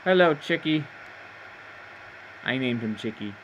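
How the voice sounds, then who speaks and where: A man's voice: mid-range voice, animated, measured pace, background noise. A man giving casual, rambling commentary over his own gameplay, amused at himself and losing his train of thought. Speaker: gameplay narrator.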